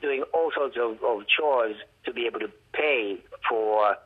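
A man's voice: medium pitch, breathy edge. Haitian-accented man on a tinny phone line. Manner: reflective, halting with restarts, acts out quoted dialogue. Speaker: artist and art professor. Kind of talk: storytelling interview over the phone.

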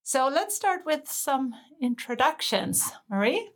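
A woman's voice: high voice, very animated, takes it slowly, a bit bright, slight background noise. A woman hosting a university podcast, asking curious questions in a friendly way with some fillers. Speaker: podcast host, recruitment manager.

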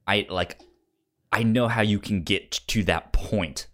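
Slow, halting man chatting casually. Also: lowish voice, fairly bright tone. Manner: halting, informal. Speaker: podcast co-host. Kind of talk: casual film discussion.

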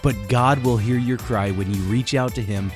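A man reading scripted sponsor ads in a measured, solemn preaching tone. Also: mid-range voice, fairly even intonation. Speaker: ad announcer. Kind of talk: scripted ad read. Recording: music bed behind voice.

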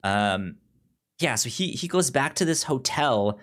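Guy chatting about movies on a podcast, stammers a lot, pitch goes all over, acts out bits. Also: animated, a bit bright. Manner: halting, stammering with repeated words; animatedly acts out characters' lines. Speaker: film podcast co-host. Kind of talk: casual film discussion.

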